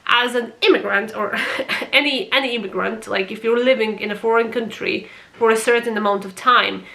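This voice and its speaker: A woman's voice: a little high, fairly even intonation, moderate pace, creaky, sharp tone, low-quality recording. A woman from Croatia, not a native English speaker, talking passionately in a casual opinion vlog about a TV series and swearing for emphasis. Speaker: vlogger reviewing a TV series.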